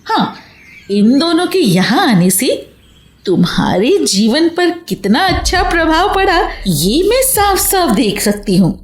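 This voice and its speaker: A woman's voice: sits high, sing-song, measured pace, echoey room. A woman acting in a Hindi radio play as a vivacious married friend, playful, knowing and theatrical in her teasing.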